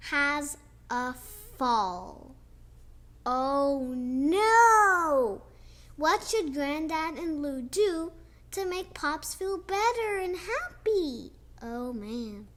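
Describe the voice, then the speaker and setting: Slow, high-voiced girl reading aloud. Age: child. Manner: slow, expressive reading with chatty asides. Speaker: child storyteller. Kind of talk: reading a picture book aloud.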